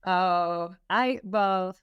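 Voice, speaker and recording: A woman's voice: fairly low pitch, extremely slow, clear, resonant tone, no echo, lo-fi sound. A middle-aged woman with a Canadian accent, a guest on a podcast interview, upbeat and articulate. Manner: upbeat, articulate, some fillers. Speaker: guest, security specialist. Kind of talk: conversational interview.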